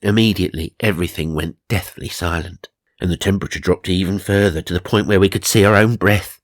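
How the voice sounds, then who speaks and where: A man's voice: sits low, steady tone, fairly quick, dry recording, clean studio sound. A man narrating supernatural magazine stories for comedy, mock-serious and dramatic, with wry asides. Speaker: narrator and host.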